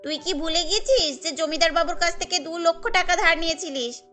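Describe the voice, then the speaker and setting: A woman doing a sharp-tongued, mocking sister. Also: extremely high pitch, brisk, soft background music. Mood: scornful. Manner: mocking, scolding.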